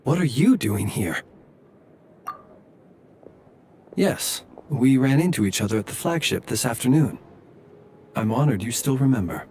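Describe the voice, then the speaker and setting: A man's voice: medium pitch, lively intonation, warm tone, close to an everyday voice. Man acting as a formal, courteous night watchman. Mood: polite, probing. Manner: formal, polite, measured. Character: courteous, guarded night-patrolling male soldier. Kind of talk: video game voice acting. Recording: faint background ambience noise.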